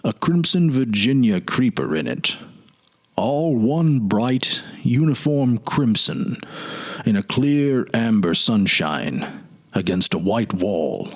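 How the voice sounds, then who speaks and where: Man voicing a grave, low, wistful man recalling memories. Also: lively intonation. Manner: slow, grave, reflective. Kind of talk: dramatic literary story reading.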